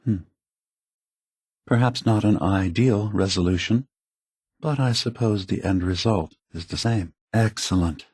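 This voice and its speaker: An actor playing an aging prototype android leader in a video game, a gentle, philosophical idealist with a soft, husky voice and measured, earnest phrasing. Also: male voice, on the low side, dry recording, clean studio sound. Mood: calm, courteous. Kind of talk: acted video game dialogue.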